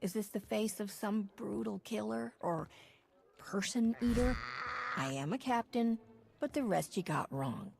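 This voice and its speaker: An actress playing a confident, wry smuggler captain in a video game cutscene, with music underneath. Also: female voice, medium pitch, animated, measured pace, vocal fry, a little mellow. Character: bold young woman smuggler captain. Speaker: starship captain.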